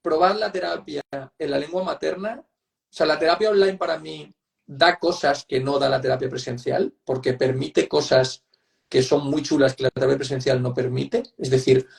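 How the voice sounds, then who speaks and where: Creaky, higher-voiced Spanish man. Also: steady tone, moderate pace. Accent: Spain. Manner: emphatic, repeats points, seeks agreement with tag questions. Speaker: guest psychotherapist. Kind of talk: conversational expert explanation in an online interview.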